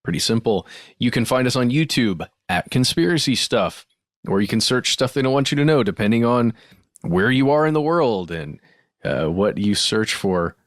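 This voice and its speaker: Laid out casual podcast guy, loose chatty phrasing, animated pitch, dry sound. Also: moderate pace, no room sound. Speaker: podcast co-host. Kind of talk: casual chat.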